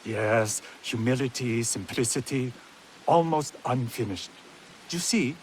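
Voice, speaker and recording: A man playing a refined, genial tea master of feudal Japan in a game, measured and contemplative. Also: mid-range voice, slight background noise, close to an everyday voice.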